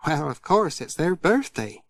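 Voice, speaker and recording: A male reader plays a friendly village postmistress in a storybook. She chats with a gentle lilt and animated, raised pitch, recorded dry. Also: a little slow, no room sound, heavily acted. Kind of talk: children's audiobook character voice.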